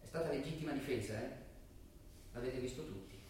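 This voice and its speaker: A man playing a violent, self-pitying armchair terrorist in a dramatic stage monologue, swinging between brooding murmurs and shouted outbursts. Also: medium pitch, fairly quick, voice pushed down, natural voice. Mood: self-justifying. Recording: very echoey room, distant mic, faint noise.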